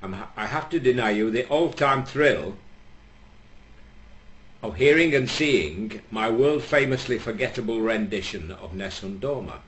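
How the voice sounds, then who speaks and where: A man's voice: lowish voice, lively intonation, unhurried, a bit bright. A jovial, self-mocking man from England hosting his show and telling jokes. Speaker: joke-telling host. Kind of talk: joke telling.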